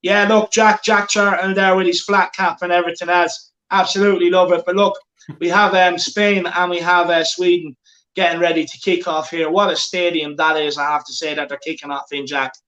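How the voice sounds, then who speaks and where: A man's voice: high voice, flat delivery, fairly quick, fairly bright tone, roomy sound, dull audio. A man hosting a football watchalong, chatting casually and reading out viewer comments. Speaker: watchalong host.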